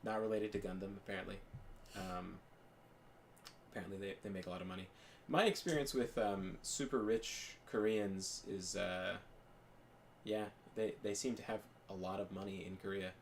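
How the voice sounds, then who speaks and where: A man's voice: low-pitched, fairly even intonation, moderate pace, a little hiss or hum. A young man chatting casually as a podcast co-host, rambling with lots of fillers and restarts. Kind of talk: casual chat while gaming.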